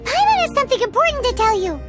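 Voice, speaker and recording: Super high squeaky little fairy sidekick, cheeky n excitable, game voice, swings all over. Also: female voice, fast talker. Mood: earnest, puzzled. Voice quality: squeaky, childlike. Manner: speaks of herself in the third person, exclamatory. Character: tiny excitable fairy-like girl companion, cheeky and curious. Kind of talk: video game character acting. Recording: loud game music behind the voice.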